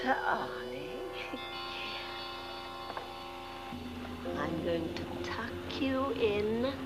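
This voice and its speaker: An actress playing a scheming, murderous woman in a campy horror-comedy film, cooing sweetly and mockingly, shifting between hushed and shrill. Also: vocal fry, exaggerated voice, low-quality recording. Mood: mocking, gloating.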